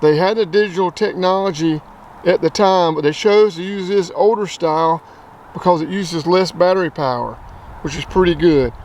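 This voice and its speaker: A man's voice: high-pitched, moderate pace, a little mellow, a little hiss or hum. A man giving a casual, plain-spoken product review as a channel host.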